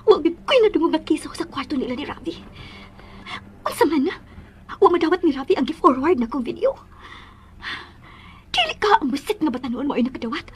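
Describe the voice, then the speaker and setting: A woman's voice: sits high, animated, fairly quick, pauses often. An actress playing a scheming, furious jealous woman in a melodramatic radio drama, with venomous delivery. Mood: bitter, scheming.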